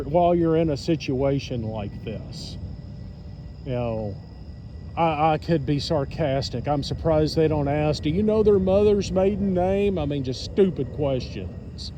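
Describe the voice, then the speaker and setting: American male storyteller, voice a bit high, blunt and informal delivery, light background noise. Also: warm tone. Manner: blunt, sarcastic, plain-spoken. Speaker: storyteller recounting own experience to followers. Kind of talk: casual spoken storytelling.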